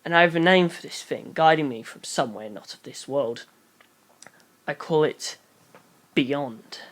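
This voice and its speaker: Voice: mid-range voice, a little slow, fairly bright tone, natural voice. A narrator voicing an eleven-year-old boy detective in an audiobook, earnest, intense and grandly self-certain, given to pompous speeches. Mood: grave, declaring.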